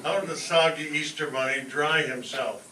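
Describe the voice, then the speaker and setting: A man's voice: fairly high pitch, steady tone, moderate pace. A man speaking to a live audience at a stage show in a large indoor hall. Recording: very noisy crowded hall, distant echoey mic. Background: loud crowd and room ambience.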